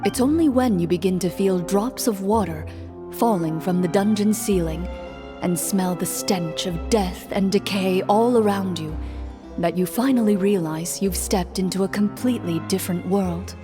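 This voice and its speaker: An actress playing a composed female game master who narrates in a measured, matter-of-fact way. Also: mid-range voice, big-room sound, over music, natural voice.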